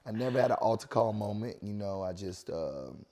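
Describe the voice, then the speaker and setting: Raspy relaxed young man. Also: on the low side, fairly quick. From North Carolina, USA. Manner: relaxed, laughs often, trails off with fillers. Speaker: guest singer. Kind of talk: casual interview chat.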